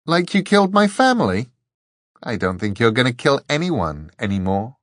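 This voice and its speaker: A man's voice: on the low side, expressive, normal speed, no room sound, clean studio sound, close to an everyday voice. A man voicing a defiant, triumphant young woman in an audiobook, speaking emphatically. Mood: bitter defiance.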